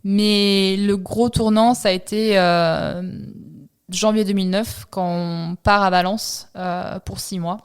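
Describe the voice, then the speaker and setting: A woman in her thirties from France, telling her own story in an interview, relaxed and reflective with many hesitant pauses. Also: mid-range voice, clear, resonant tone. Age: 30s. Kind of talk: personal testimony interview.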